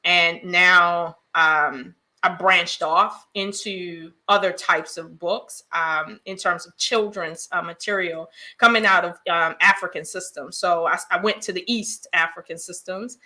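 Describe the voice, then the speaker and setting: Bright, laughing middle-aged woman storyteller. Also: lowish voice, steady tone, unhurried, hardly any pauses. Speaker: guest, children's book author. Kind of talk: casual podcast chat.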